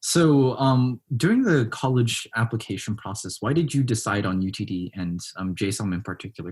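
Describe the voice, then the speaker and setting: A man's voice: fairly low pitch, a little mellow, husky. A young man, a student interviewing a guest in a friendly, casual way with frequent fillers. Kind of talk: interview questions.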